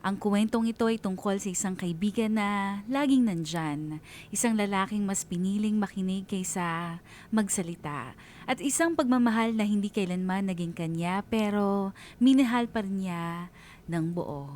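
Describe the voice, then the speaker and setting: A woman with a Filipino accent narrating a scripted first-person story as a radio DJ, reflective and sentimental, with pauses. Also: mid-range voice, darkish tone, a little hiss or hum. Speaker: radio DJ reading a listener's story.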